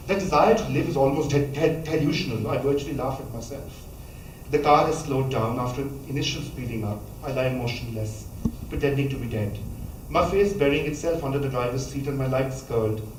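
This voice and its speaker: A man, reading a memoir passage aloud at a measured pace, now and then stumbling and restarting a word. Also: medium pitch, noisy background. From Pakistan. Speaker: author reading from his book. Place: college lecture hall. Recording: echoey hall, voice from a distance, faint ambience.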